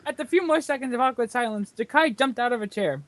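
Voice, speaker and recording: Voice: medium pitch, fast talker, a bit bright, no room sound. Dry, close-sounding comic storyteller reading aloud. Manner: theatrical, often breaking into laughter. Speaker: author reading own fan fiction aloud to friends.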